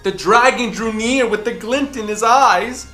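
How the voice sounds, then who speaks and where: A woman hosting a children's book club, reading aloud expressively with theatrical, deliberate emphasis. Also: fairly high pitch, fairly bright tone. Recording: roomy echo, faint music behind.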